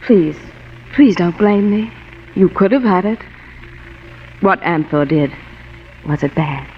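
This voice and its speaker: Cool, manipulative femme fatale, vintage radio drama. Languid, coaxing delivery; muffled, hissy, echoing recording. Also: female voice, fairly low pitch, animated, slow pace. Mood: confiding. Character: seductive, scheming, cool married blonde femme fatale. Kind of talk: 1940s radio noir acting. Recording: muffled, reverberant, noisy old radio broadcast.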